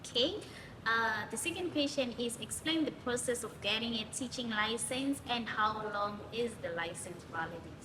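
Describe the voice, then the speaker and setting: A woman's voice: on the high side, normal speed. A woman hosting her own video channel, chatting casually and reading questions to a guest. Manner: chatty, asks questions from a list. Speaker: YouTube host. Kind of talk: casual vlog interview. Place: living in Thailand. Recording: echoey room with long reverb and noise.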